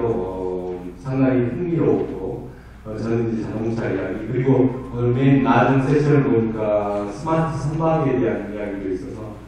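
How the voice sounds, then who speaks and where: A middle-aged man who is hoarse from a cold gives a polite, conversational presentation at a conference. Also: medium pitch, mellow, a little hiss or hum. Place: large conference hall. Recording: very echoey hall, voice distant.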